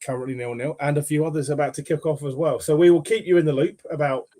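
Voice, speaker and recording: A man co-hosting a football podcast in casual chat, with a croaky voice, jokey and fond of repeating phrases. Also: a little high, fairly even intonation, quick pace, mellow. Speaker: podcast co-host.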